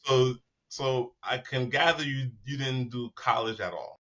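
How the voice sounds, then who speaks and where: A man's voice: medium pitch, measured pace, fairly bright tone. A man hosting a casual interview, speaking in slang and chiming in with agreement, on slightly muffled audio. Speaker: interviewer, host.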